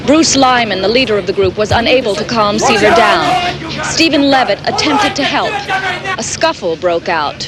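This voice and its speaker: An actress playing a sober, measured TV news reporter delivering a scripted report in a 1980s drama. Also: female voice, fairly high pitch, animated, a loud crowd, natural voice. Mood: grave, urgent. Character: serious female TV field reporter narrating crisis. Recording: loud background noise, 1980s broadcast report.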